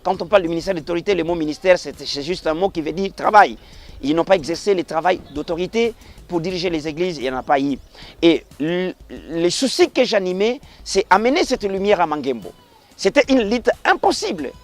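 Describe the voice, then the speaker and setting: A middle-aged pastor from the Congo Central region speaks in a fairly high voice. He talks earnestly, pushing up for emphatic points and sinking low and quiet when he blesses people. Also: animated, fairly quick. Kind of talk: formal interview remarks, preacherly.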